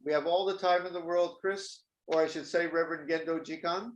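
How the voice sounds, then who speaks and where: A man leading a Zen ordination ceremony, speaking gently and formally with a few halting fillers. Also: fairly high pitch, steady tone, dull audio. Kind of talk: ceremonial officiating speech. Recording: echoey and distant with faint noise.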